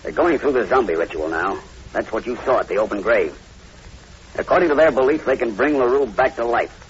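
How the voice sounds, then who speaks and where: An actor playing a middle-aged plantation owner, tense, clipped and authoritative, in old radio horror drama style. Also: male voice, medium pitch, expressive, fairly quick, natural voice. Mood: grave. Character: tense, commanding American plantation owner, grimly believing in zombies. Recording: dull lo-fi old radio recording, hiss.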